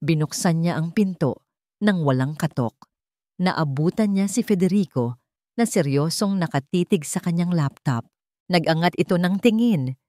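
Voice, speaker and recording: A woman's voice: on the low side, no echo, studio quality. A woman storyteller reading scripted fiction in a dramatic narration style.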